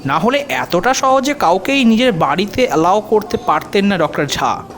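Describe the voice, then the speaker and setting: An actor playing a confident, helpful police officer in an audio detective drama, explaining in a measured way with English terms mixed in. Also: male voice, sits high, animated, fairly bright tone, slight background noise. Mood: serious, explanatory. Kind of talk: audio drama acting in Bengali detective story.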